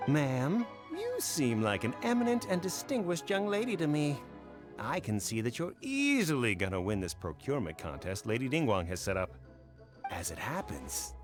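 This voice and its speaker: An actor playing an eager, ingratiating, smooth-talking merchant in a video game. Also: male voice, on the high side.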